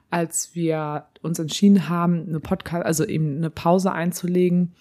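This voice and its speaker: A chatty woman with a slightly husky, hoarse voice, co-hosting a relaxed podcast conversation. She laughs easily and loops back through her thoughts, and her voice sits a little low for a woman. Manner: chatty, laughs often, rambling restarts. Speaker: podcast co-host. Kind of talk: casual chat.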